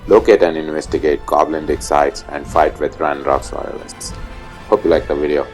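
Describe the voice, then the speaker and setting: A man's voice: very deep voice, fairly even intonation, normal speed, a little mellow, soft background music. A man reading scripted narration as the narrator of a gaming channel.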